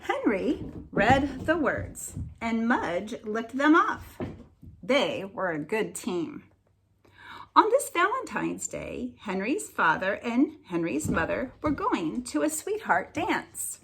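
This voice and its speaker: A woman teacher reading a children's story aloud as a lesson, slightly husky, enunciating deliberately with expressive storybook emphasis. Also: on the high side, slight background noise.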